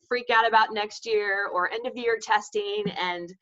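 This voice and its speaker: Bright-voiced woman hosting an interview, slightly dull audio. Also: mid-range voice, fairly even intonation, normal speed. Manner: gushing, enthusiastic. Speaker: interview host. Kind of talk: conversational introduction and questions.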